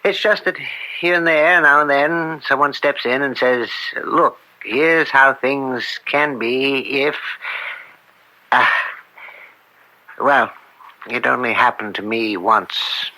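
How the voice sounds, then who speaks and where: An actor playing an upright, principled judge and father in old-time radio drama, measured and earnest. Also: medium pitch, pushed and bright, heavily acted, poor audio.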